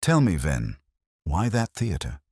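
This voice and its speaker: Guy reading as a victorian detective, very deep voice, cool n cryptic, deliberate, pitch moves a lot. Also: wildly swooping pitch, darkish tone, slightly rough, dry recording, studio quality, heavily acted. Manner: deliberate, aphoristic, commanding.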